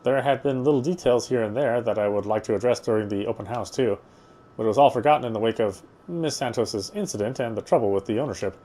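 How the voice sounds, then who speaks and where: Male let's player, medium pitch, reading visual novel narration with offhand commentary. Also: fast talker, mellow. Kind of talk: read-aloud narration with casual asides.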